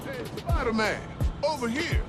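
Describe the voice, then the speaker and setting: An actor playing a friendly, worried pigeon keeper in a video game, speaking in plain, clipped phrases. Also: male voice, extremely high pitch, lively intonation, normal speed. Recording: loud music behind the voice.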